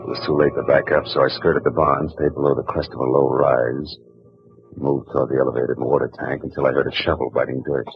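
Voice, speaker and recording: Give me a dry, hard-boiled detective narrating low and deep from a dull old radio drama. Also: male voice, level tone, fairly quick, husky. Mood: wry narration. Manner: dry, wisecracking first-person narration. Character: hard-boiled male private eye, wry and tough. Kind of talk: 1940s radio acting.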